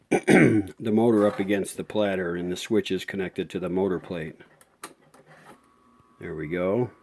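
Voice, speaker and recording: A man with a fairly low, dark and very mellow voice hosts a hands-on repair demonstration. He talks casually and without hurry, thinking aloud as he works. His way of speaking is plain and folksy. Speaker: host of a vintage audio repair channel.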